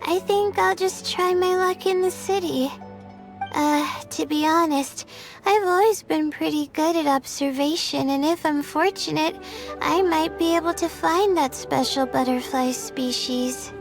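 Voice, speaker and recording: A woman voicing a gentle, polite, hesitant young astrologer in a video game. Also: sits high, a little slow. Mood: hesitant, earnest. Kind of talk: animated video game voice acting. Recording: music under the voice.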